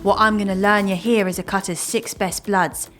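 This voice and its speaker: A cocky woman thief, played by an actress, slangy and wry like a brisk mentor from the streets. It is fantasy audio drama, with faint music underneath. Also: medium pitch, steady tone, fairly bright tone, natural voice. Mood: brisk instruction.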